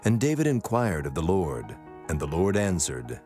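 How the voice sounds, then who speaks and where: A man narrating a dramatized scripture reading in a solemn, measured manner. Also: low-pitched, big pitch swings, a little mellow. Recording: prominent music behind the voice.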